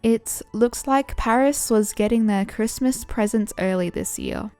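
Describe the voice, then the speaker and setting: A woman narrating and hosting fan fiction audio in an expressive storytelling style. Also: fairly high pitch, a little slow. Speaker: narrator and host. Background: background music.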